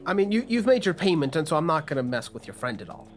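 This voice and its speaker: A man's voice: on the high side, very animated, fast talker. A man improvising conversationally as game master, narrating scenes and voicing characters in a tabletop game. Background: faint music.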